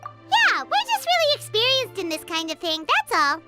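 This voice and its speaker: A woman voicing a tiny, childlike fairy companion in an anime-style video game, squeaky and chirpy, with an eager, skeptical temper. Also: wildly swooping pitch, normal speed, heavily acted. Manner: speaks of self in third person, chirpy. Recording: loud game music behind voice.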